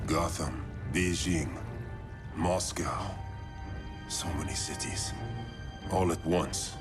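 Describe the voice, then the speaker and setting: A man's voice: low-pitched, slow, over music. A man playing a grave, sombre character in a video game cutscene.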